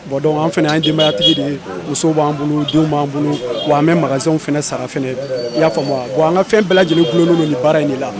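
A young man with a higher voice answers a street reporter at a shop front. He is animated, and a crowd can be heard clearly behind him. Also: fast. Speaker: phone repair worker. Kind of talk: vox pop answer. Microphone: handheld mic held by interviewer.

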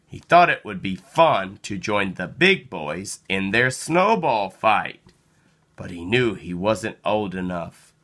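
An expressive reader tells a children's picture book aloud. The delivery is unhurried and theatrical, with a lively rise and fall in pitch. The tone is bright and clear-cut, at a middle pitch. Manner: animated, slow, dramatic delivery with acted sound words. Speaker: storybook reader.

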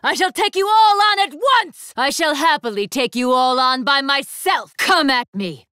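A proud young female warrior from an anime fighting game. Her voice is on the high side and swings widely in pitch. She speaks formally and imperiously, with ruthless disdain, in a very dry, close recording. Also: lively intonation, moderate pace, bright, forceful tone, no room sound. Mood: fierce, defiant. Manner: commanding, haughty, formal theatrical delivery.

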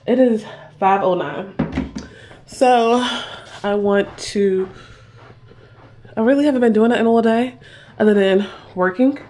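A woman's voice: mid-range voice, measured pace, long pauses, warm tone, a little hiss or hum. A young woman vlogging at home, rambling chattily to her viewers while fighting a cough, filmed on a phone held at arm's length. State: coughing with a sore throat. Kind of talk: casual haul vlog. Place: small room at home, indoors. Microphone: handheld phone camera at arm's length.

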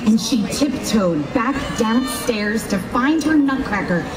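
Slow-reading woman in an echoey square. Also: a little high, far from the mic. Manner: unhurried, expressive reading. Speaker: storyteller. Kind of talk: reading a storybook aloud. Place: outdoor town square at night. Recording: echoey open air from afar with crowd.

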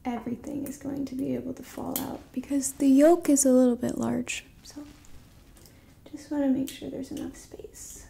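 A young woman hosting an ASMR roleplay, speaking gently and softly to the listener in a hushed voice that slips into whispers. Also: high voice, flat delivery, moderate pace, vocal fry, slightly rough. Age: young adult. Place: small dim indoor room at a table.

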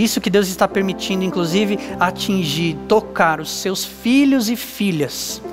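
A man in his thirties with a Brazilian Portuguese accent, a pastor giving an earnest, measured sermon with emphatic pauses on a church stage. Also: high voice. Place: dark church stage with lights, indoors.

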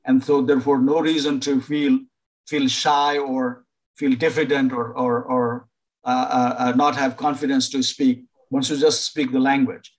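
An older man from Nepal, a professor advising international students over a video call, pausing often to find words. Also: mid-range voice, little pitch movement, poor audio. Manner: halting, many fillers and restarts. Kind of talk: informal online presentation.

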